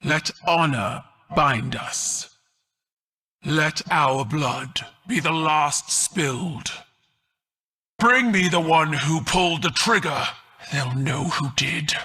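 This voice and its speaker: Slow, husky, solemn leader. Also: male voice, on the high side, sharp tone, heavily acted. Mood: vengeful. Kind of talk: video game voice acting.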